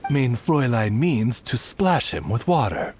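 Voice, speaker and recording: An actor voicing a devoted winged familiar in a video game, formal and deferential with ornate phrasing. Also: male voice, medium pitch, big pitch swings, measured pace, a little mellow, faint music behind. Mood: courtly, obliging. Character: courtly, devoted male winged bird familiar. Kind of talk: video game cutscene acting.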